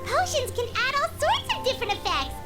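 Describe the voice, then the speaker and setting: A woman voicing a tiny, excitable, childlike fairy companion in a video game, chirpy and squeaky. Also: fairly quick, full character voice. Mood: boastful. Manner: speaks of herself in third person, chirpy. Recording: game music behind the voice.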